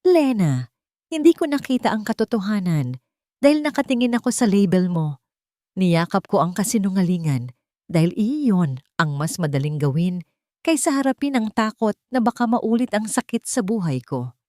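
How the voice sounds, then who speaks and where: A woman's voice: mid-range voice, sing-song, moderate pace, darkish tone, dry recording, studio quality, lightly acted. A woman voicing a remorseful, wealthy man who pleads emotionally in a dramatized love story. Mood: pleading apology. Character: remorseful rich adult man, pleading for forgiveness.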